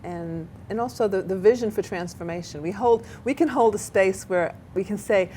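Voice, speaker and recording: A woman hosting a local TV talk show, chatty and animated, often tripping over her words. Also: mid-range voice, moderate pace, a little hiss or hum. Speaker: talk show host and therapist. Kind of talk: casual local TV interview chat. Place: local cable TV studio.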